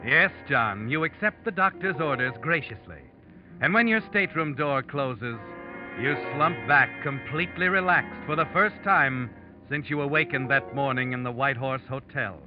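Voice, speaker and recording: A man's voice: mid-range voice, moderate pace, dull audio, natural voice. An actor playing an ominous, knowing narrator of an old radio suspense play, addressing a guilty man in an insinuating, taunting way. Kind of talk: 1940s radio suspense narration, addressing the protagonist in second person. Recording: vintage radio recording, dramatic music behind.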